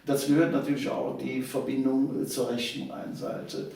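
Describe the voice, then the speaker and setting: A man's voice: medium pitch, flat delivery, moderate pace, vocal fry, mellow. A man tells eyewitness stories conversationally, emphatically repeating phrases. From Cologne, Germany. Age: 70s. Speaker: interview guest, storyteller of local history. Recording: echoey room, distant microphone.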